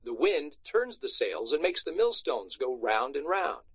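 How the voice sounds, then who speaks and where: A portly, simple farmer squire, voiced by a man reading aloud, questions things in a plain, good-natured way. His pitch leaps about in a lively storybook manner, on a dry, dull recording. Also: a little slow, husky, no room sound, heavily acted. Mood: puzzled, doubtful.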